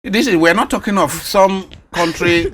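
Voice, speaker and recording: A Nigerian man hosting a studio interview, asking probing questions in a measured way. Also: sits high, fairly bright tone. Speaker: interviewer, host.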